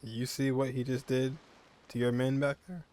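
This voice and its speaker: A man's voice: mid-range voice, little pitch movement, normal speed, a little mellow. A man giving casual reaction commentary as he streams a video game. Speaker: gaming streamer.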